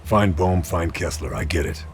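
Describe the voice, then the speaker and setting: An actor playing a terse, stoic World War II sniper officer in a video game, with a deadpan delivery. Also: male voice, low-pitched, moderate pace, vocal fry, a little hiss or hum. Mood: stoic, businesslike. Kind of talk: video game acting, scripted narration and dialogue.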